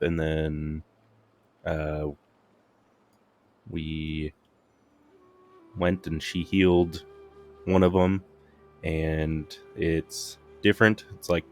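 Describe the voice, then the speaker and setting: Man, deep voice, casual game streamer, thinking aloud, muttering, faint music behind. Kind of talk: casual gameplay commentary.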